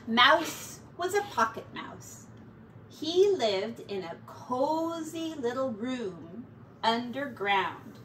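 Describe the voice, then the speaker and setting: A middle-aged woman reads a picture book aloud like a teacher. Her narration is slow and very animated, with a bright tone. It is recorded in a room, some way from the mic. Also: fairly high pitch. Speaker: teacher reading aloud to children. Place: small indoor bedroom. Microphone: distant camera microphone. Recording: roomy, distant mic, faint noise.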